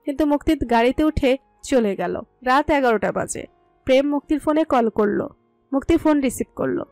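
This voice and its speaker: High-voiced woman telling a story. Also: expressive, fairly quick, a little mellow, dry recording. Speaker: narrator. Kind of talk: scripted romantic story narration. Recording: music under the voice. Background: music.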